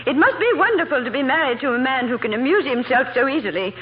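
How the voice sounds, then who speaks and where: An actress playing a pompous, fluttery high-society matron with an affected, genteel, condescending manner in a 1940s radio comedy. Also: female voice, lively intonation, quick pace. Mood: airily condescending. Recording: dull, hissy vintage radio broadcast.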